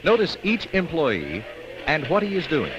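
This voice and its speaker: American man narrating, lively pitch, noisy old film. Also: a little slow. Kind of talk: scripted industrial training film narration. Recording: dull, noisy 1960s film soundtrack.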